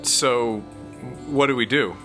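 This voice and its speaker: An awkward, timid young man who stammers through his excuses, played by a man. His voice is bright and tense, with soft music behind him. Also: mid-range voice, slow. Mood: hesitant. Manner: hesitant, stammering.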